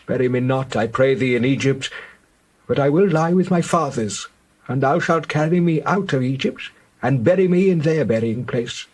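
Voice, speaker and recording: An actor playing an aged, dying Hebrew patriarch in a dramatized scripture reading, husky, grave and deliberate, solemn and tender. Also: male voice, medium pitch, lively intonation, close to an everyday voice, low-quality recording. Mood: solemn pleading.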